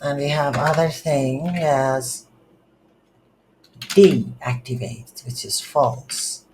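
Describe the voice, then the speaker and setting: A non-native English speaker teaches coding in a slow, halting way, thinking aloud step by step. The pitch is medium, and there is faint noise with keyboard typing behind the voice. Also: steady tone, darkish tone, rough recording. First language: not English. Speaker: programming tutorial instructor. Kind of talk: screen-recorded coding tutorial, unscripted explanation. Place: indoors at a computer.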